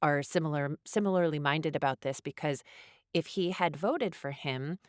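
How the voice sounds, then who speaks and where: Interview guest: woman, low register, reflective and hesitant, dry close sound. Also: moderate pace, no room sound.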